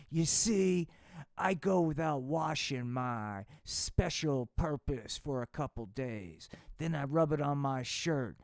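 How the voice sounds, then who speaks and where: A man voicing a sleazy, boastful mustached stoner who brags loudly. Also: mid-range voice, dry recording, clean studio sound. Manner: boastful, loud, slangy.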